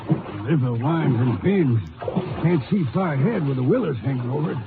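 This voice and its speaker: A man's voice: mid-range voice, animated, normal speed, heavy background noise, lightly acted. A man playing a plain-spoken, helpful rancher in an old-time radio western, with clipped, folksy phrasing. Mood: plain, curious. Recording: muffled vintage radio recording with music.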